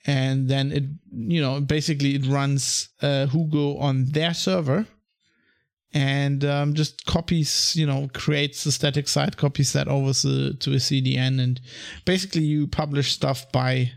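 Male podcast host, German accent, gravelly, rambly with lots of ums. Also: mid-range voice, flat delivery, measured pace. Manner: rambling, many ums and restarts. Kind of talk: casual solo podcast monologue.

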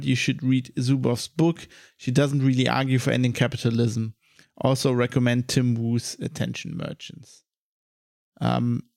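A man with a German accent hosting a podcast in casual conversation, blunt and quick to laugh, swearing now and then. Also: medium pitch, creaky, a little mellow, no echo, studio quality. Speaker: podcast host.

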